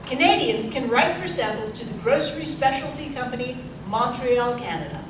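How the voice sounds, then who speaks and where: Woman playing a high-voiced 1930s radio announcer, echoey hall. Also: animated, moderate pace, noisy background, lightly acted. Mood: salesmanlike. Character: upbeat 1930s radio announcer woman pitching instant coffee. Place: live stage performance in a museum. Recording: echoey hall, distant mic, music behind.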